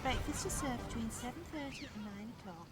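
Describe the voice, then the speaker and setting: An actress playing a brisk, polite hotel receptionist in an audio drama, with chirpy, formal patter. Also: female voice, on the high side, husky, no room sound. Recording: loud music over voice.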